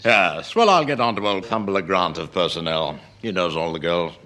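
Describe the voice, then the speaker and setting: A man's voice: deep voice, expressive, normal speed, pushed and bright. A man playing a pompous, work-shy middle-aged civil servant, blustering and stammering with an English accent in a British radio sitcom.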